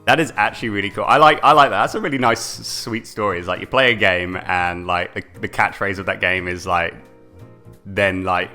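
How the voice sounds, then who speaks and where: Bright-voiced young British man, chatty. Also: low-pitched, fairly even intonation, brisk, faint music behind. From United Kingdom. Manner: chatty, laughs often, repeats words. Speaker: co-host. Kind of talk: casual live-stream chat.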